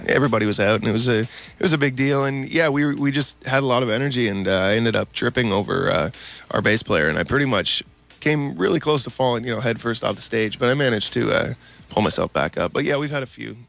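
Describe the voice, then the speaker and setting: Male band musician, casual interview, laid-back, creaky voice at a quick pace. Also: medium pitch, animated, fairly bright tone, faint music behind.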